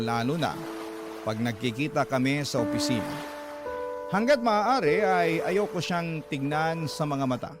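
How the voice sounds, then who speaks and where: A man hosts a radio love-story programme, narrating a letter in the first person with dramatic delivery. His voice sits a little higher than most men's. Music plays loudly underneath.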